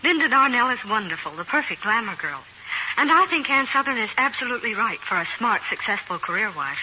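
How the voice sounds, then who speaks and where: A woman's voice: fairly high pitch, sing-song, a little fast, sharp tone, highs cut off. A young woman, an actress guest, speaking in scripted 1940s radio promotional chat on a noisy old recording. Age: young adult.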